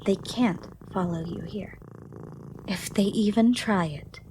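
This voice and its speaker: Slow, gentle female shadow monster, acted by a woman. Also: medium pitch, slight background noise, natural voice. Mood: protective, reassuring. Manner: slow, soft, formal, slightly archaic phrasing, soothing. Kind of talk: ASMR roleplay.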